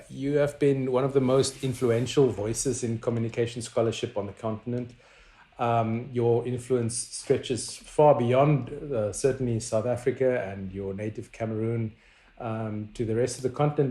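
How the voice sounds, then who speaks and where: A man hosting an interview, reading a prepared introduction and asking questions in a measured way. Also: medium pitch, warm tone. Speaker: interviewer, media professor. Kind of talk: interview host. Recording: echoey room.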